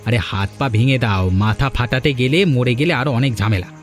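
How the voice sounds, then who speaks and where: A man voicing a quick-talking scheming villager. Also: medium pitch, faint music behind, close to an everyday voice. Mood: plotting. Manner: plotting, menacing. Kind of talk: cartoon voice acting.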